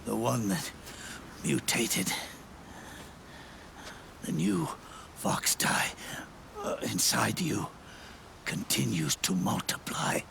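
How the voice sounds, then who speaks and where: An actor playing a dying old soldier in a video game, weary and regretful, with a gravelly, breathy voice and halting, solemn phrases. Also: male voice, lowish voice, fairly bright tone, slight background noise, heavily acted. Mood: weary, explanatory. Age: older. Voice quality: gravelly, breathy, often fading to a whisper. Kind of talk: cinematic video game acting. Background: music.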